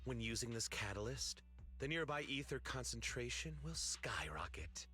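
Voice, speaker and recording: A treacherous, power-hungry game villain with a bright, cutting voice. His delivery swings widely in pitch, full of mock deference and theatrical sneering, over game music. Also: big pitch swings, moderate pace. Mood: sly, gloating. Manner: mocking, theatrical, feigned deference. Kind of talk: dramatic video game voice acting.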